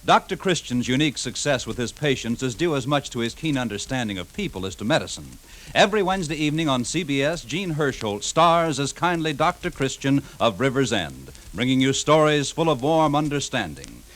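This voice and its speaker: A man's voice: mid-range voice, big pitch swings, brisk, fairly bright tone. A man announcing in polished, formal 1950s network radio style.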